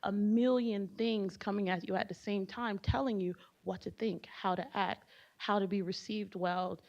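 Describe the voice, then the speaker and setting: A woman's voice: medium pitch, fairly even intonation, moderate pace, darkish tone. A woman whose first language is Haitian Creole, speaking earnestly and reflectively as a panelist. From United States, Haitian family. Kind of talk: panel discussion. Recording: muffled lo-fi event recording.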